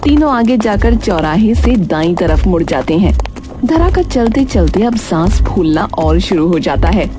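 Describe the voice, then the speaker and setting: A woman reads a scripted tale as its narrator. Music plays clearly behind her storytelling. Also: medium pitch, big pitch swings, a little slow.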